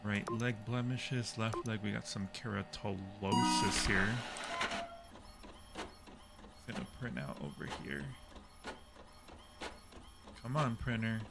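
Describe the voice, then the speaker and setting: Slow, low-voiced man gaming over noise. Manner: unhurried, muttering to himself and reading out tasks. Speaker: livestream host playing a horror game. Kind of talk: casual gaming commentary. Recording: clear background noise.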